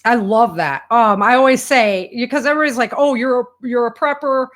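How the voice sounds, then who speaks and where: A woman's voice: fairly high pitch, fairly quick. Emphatic woman in casual, colloquial conversation. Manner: colloquial and emphatic, acts out quoted remarks. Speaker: interview guest, author and podcaster on survival prepping.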